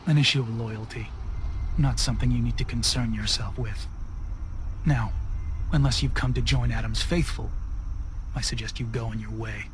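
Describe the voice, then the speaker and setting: A man plays a stern zealot who guards the faithful in a video game. He speaks in a measured, cryptic and solemn manner at a middling pitch, with some ambient noise behind him. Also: steady tone, close to an everyday voice. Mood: cold, dismissive. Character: stern, devout male cult zealot guarding his faithful. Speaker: cult gatekeeper. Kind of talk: video game dialogue acting.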